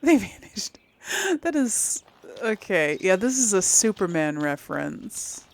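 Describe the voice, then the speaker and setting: A woman's voice: medium pitch, big pitch swings, takes it slowly. A woman giving casual let's play commentary, thinking aloud as she goes. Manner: thinking aloud, reading quest text aloud.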